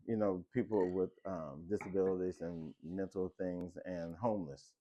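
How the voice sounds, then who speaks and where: A man in his late fifties in a casual panel chat, speaking in a steady, reassuring way and often finishing other people's sentences. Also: low-pitched, moderate pace, highs cut off. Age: 50s.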